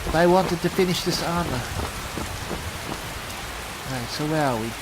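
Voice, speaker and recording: A man doing in-character roleplay commentary on a game, musing haltingly as if talking to himself. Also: fairly high pitch, very noisy. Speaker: gameplay host, player in character. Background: rain and thunder from the game.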